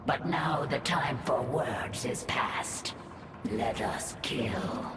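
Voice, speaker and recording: An actress playing a fierce, loyal insectoid broodmother in a video game, formal, deliberate and bloodthirsty. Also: rumbling low, animated, noisy background, heavily acted. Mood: fierce devotion. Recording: echoey, distant, under loud game music.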